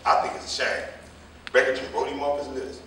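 A man's voice: medium pitch, steady tone, a little fast, sharp tone, lightly acted. Gossipy old man played by a male actor, echoey and distant. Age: older. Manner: folksy, rambling storytelling in colloquial dialect. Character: gossipy, combative old male jitney driver, scolding the young. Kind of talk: staged reading of a stage play. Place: theater or hall staged reading, far mic. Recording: echoey hall, distant mic, faint noise.

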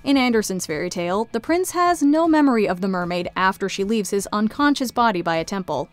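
A woman's voice: mid-range voice, animated, a little fast, faint music behind. A woman reading scripted video essay narration into a close studio microphone. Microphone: close studio voice-over mic.

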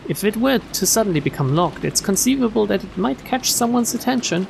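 A streamer reading a visual novel aloud in an expressive way, with casual, joking asides. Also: mid-range voice, a little fast, creaky, slight background noise. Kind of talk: let's play read-aloud with casual asides.

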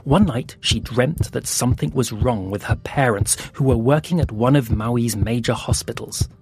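A man narrating an audio fable in a slow, hushed storytelling manner with dramatic pauses. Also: mid-range voice. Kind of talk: scripted audio fiction narration. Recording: soft music underneath.

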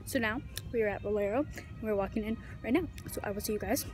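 A woman's voice: mid-range voice, fast talker, a little mellow, faint music behind. A young woman with an American accent chatting casually to viewers as a vlogger. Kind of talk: casual vlog chat to viewers. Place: bedroom, kitchen, car and outdoors at night. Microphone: phone or device held at arm's length.

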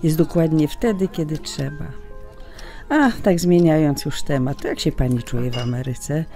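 Mellow, courteous emigrant lady. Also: deep voice, moderate pace, faint music behind. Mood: kindly chatty. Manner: courteous, reflective. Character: polite, wistful, lonely Polish emigrant woman. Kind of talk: audio drama acting.

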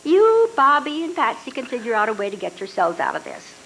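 A woman's voice: a little high, fairly quick, vocal fry, slight background noise, heavily acted, rough recording. An older actress reading the part of a defiant young woman bent on becoming a star, in a live radio drama re-enactment on stage. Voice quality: lively, defiant, flippant. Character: brash young woman dreaming of stardom. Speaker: reader's theatre actress. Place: hotel ballroom stage with audience. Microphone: stand mic on stage.

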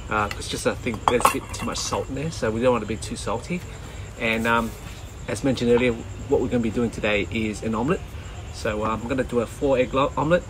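A relaxed man, talking through a recipe in a casual, chatty way. His voice sits at an ordinary middle pitch. The camera mic picks him up outdoors, with loud background noise behind him. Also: fast. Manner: relaxed, chatty, with frequent ums. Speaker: cooking vlogger. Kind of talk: casual cooking commentary. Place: outdoor garden kitchen and rocky bay shore. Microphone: camera mic at medium distance.

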